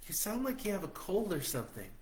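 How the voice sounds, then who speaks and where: A man voicing a caring, anxious woman friend in a higher voice, fretful and insistent. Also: very animated, quick pace, a little mellow. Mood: concerned.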